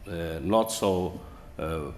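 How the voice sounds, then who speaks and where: Guy, very low voice, slow and halting, echoey big hall. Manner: halting, many fillers and restarts. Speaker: conference speaker. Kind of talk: hesitant presentation talk. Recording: echoey hall, faint room noise.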